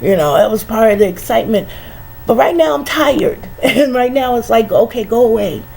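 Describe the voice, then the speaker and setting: A middle-aged woman with a head cold, musing slowly and casually, sighing and laughing softly between many "you know"s. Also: on the high side, lively intonation, creaky, slight background noise. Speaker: vlogger. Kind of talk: casual reflective monologue.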